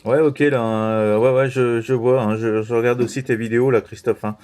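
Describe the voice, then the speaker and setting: A man chatting casually as an amateur radio operator, halting and repeating himself with frequent verbal tags. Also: medium pitch, steady tone, a little mellow, slight background noise. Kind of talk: casual CB radio contact chat.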